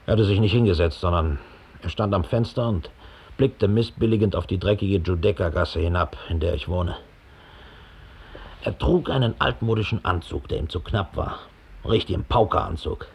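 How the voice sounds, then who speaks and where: A man's voice: low voice, normal speed, creaky, darkish tone, husky, exaggerated voice. An actor playing a big, slovenly, resentful middle-aged Nazi operative who gives his account with contempt and self-importance in a radio play. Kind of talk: radio drama monologue, police statement. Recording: 1960 studio radio play.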